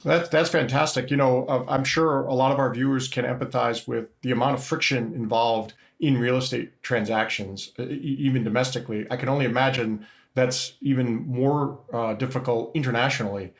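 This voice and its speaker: A man's voice: medium pitch, fairly even intonation, moderate pace, reverberant. A middle-aged man hosting a business interview, hesitant and full of restarts as he sums up his guest's points. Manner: hesitant, restarts often, summarizing.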